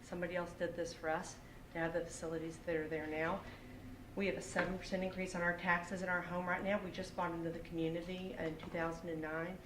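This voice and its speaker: A woman's voice: fairly low pitch, level tone, quick pace. A middle-aged woman from Texas with a Texan accent, giving an earnest public comment as a parent at a school board meeting. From Texas, United States. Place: indoor public meeting room. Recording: echoey, noisy meeting room, distant microphone.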